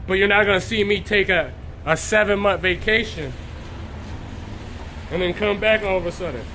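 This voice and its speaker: Hoarse, high-voiced man preaching on a stream. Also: normal speed, sharp tone, a little hiss or hum. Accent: Texas. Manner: clears throat often, greets viewers by name. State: hoarse, recovering from illness. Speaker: street preacher streaming live. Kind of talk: live-streamed preaching with scripture reading.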